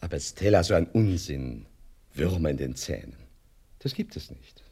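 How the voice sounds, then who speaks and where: A man's voice: rumbling low, very animated, normal speed, creaky, warm tone, breathy edge, full character voice. An actor playing a sceptical, disapproving husband and father in a radio play. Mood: dismissive.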